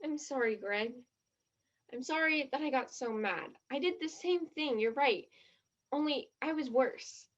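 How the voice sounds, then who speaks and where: A woman's voice: high voice, expressive, a little slow. An actress in a stage play as a blunt, skeptical, dramatic teenage girl, in a slightly muffled recording. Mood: contrite.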